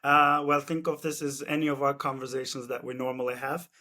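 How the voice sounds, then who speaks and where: A man's voice: mid-range voice, monotone, brisk, sharp tone. Friendly man hosting a podcast. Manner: friendly and conversational, asks easy questions. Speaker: podcast host. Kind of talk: podcast interview.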